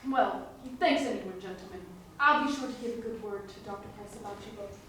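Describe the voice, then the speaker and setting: Lady acting a bold quick-witted gal in a musical, theatrical, far from the mic with noise behind. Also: medium pitch, lightly acted. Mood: wry. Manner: brassy, playful, theatrical. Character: bold, quick-witted woman bluffing as a doctor. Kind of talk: live stage musical acting. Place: staged reading before a live audience. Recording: echoey hall, distant mic, clear noise.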